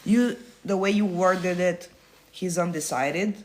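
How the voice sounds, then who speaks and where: A man's voice: high voice, slow pace. A man coaching viewers on a phone livestream, answering their comments in a blunt, casual way, swearing freely and repeating himself to make points land. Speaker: manifestation coach.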